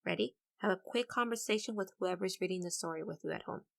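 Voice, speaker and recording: A woman's voice: fairly low pitch, quick pace, gravelly, a bit bright. A woman teacher leading an interactive read-aloud for children, explaining in an encouraging way and addressing listeners directly.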